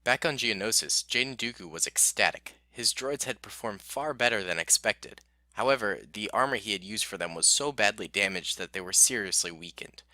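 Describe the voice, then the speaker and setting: A man reading a scripted war story as narrator, in a steady storybook manner. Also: fairly low pitch, moderate pace, no echo.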